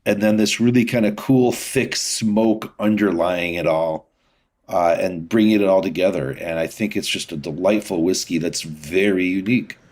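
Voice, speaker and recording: Male interview guest, lower-pitched voice, informal explainer, conversational and peppered with filler phrases. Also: normal speed, a little mellow. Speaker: guest, distillery founder and whiskey maker. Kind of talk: casual interview chat.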